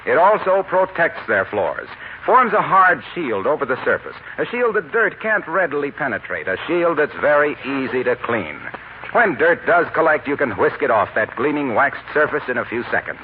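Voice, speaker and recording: A man's voice: on the high side, sing-song, normal speed, slight background noise. A man with an American accent announcing in polished, emphatic 1940s radio style. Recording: tinny band-limited old radio recording, music behind. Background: music.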